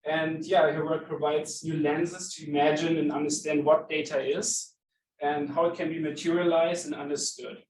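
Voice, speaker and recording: A man introduces a guest speaker, hesitant and full of fillers. His voice sits a bit high for a man. He is picked up from a distance in an echoey room with faint background noise. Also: flat delivery, normal speed. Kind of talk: introduction at a talk. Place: lecture room.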